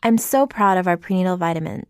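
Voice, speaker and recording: A woman's voice: on the low side, expressive, fast, darkish tone, clean tone, dry recording, clean studio sound. Woman reading an earnest ad. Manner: earnest, polished. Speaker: company founder in an ad. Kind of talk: scripted ad read.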